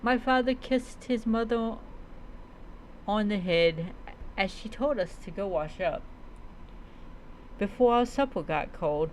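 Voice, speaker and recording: Slow, halting woman storyteller over noise. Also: medium pitch, animated, rough recording. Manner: halting reading, often stumbles and repeats words. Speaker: role-play storyteller. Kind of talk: first-person story narration, read aloud.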